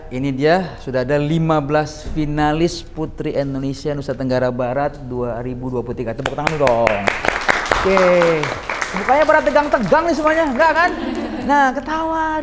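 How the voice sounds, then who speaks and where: A man's voice: fairly high pitch, noisy background. Chatty male host in a reverberant hall. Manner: chatty, mixes English phrases into speech. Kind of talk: casual interview and pageant hosting.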